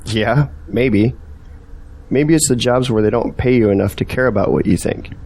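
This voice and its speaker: A man's voice: mid-range voice, moderate pace, a little mellow, lightly acted. Earnest man, searching and persuasive, questioning manner, audio drama dialogue, acted by a man. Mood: tentative, reflective.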